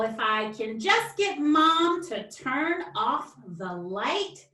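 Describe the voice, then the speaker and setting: A woman author speaking expressively and deliberately, as in a storybook read-aloud for children. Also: sits high, a bit bright. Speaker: children's author, guest reader. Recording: roomy, distant microphone.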